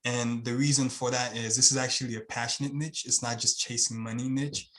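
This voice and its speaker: A man's voice: mid-range voice, level tone, a little fast, fairly bright tone. A man from Haiti with a Haitian accent, a guest talking on a video call in an earnest, rambling way with lots of fillers. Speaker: guest, channel owner. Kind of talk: casual video-call interview.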